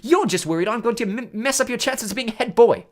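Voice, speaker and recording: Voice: medium pitch, huge pitch swings, brisk, husky, voice pushed up. A narrator voicing a blunt, hot-tempered schoolboy who talks in a tense, defensive way, in an audiobook story. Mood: hot, indignant.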